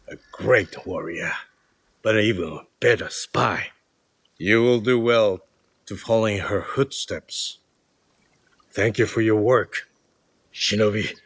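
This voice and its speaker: Older samurai lord, low register, measured and authoritative mentor, dry studio sound, game drama. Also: male voice, a bit bright, slightly rough, no echo, exaggerated voice. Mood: approving, measured. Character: aging, battle-hardened male samurai lord, grave and commanding. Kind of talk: video game cutscene acting.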